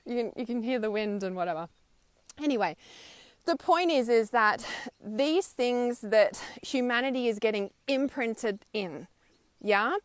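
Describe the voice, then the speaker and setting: A woman in her thirties talks in a casual, emphatic monologue outdoors, leaning on her points with little tags. Her voice sits a bit high and sounds smooth and clear. The sound is close and dry, with almost no room around it. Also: animated, measured pace, dry recording. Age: 30s. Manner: chatty, emphatic, frequent "right?" and "okay?" tags, laughs often. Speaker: spiritual vlogger. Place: outdoors, wind audible.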